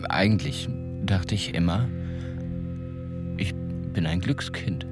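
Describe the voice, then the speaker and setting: An actor playing a disillusioned small-town car mechanic and ex-convict, talking in a halting, colloquial, ruminative way in a radio drama. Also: male voice, sits low, lively intonation, over loud music. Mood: bitter, resigned. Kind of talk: first-person radio drama monologue.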